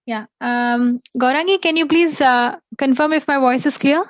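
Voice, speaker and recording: A woman teaching an online class over a video call, pausing often with fillers as she searches for words. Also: high voice, dull audio.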